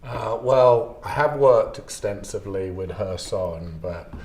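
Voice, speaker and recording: Male teacher, deep voice, slow and measured with ums, roomy echo. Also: animated, darkish tone. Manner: measured, with hesitant "um"s. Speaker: English language teacher. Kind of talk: interview answers. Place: school classroom.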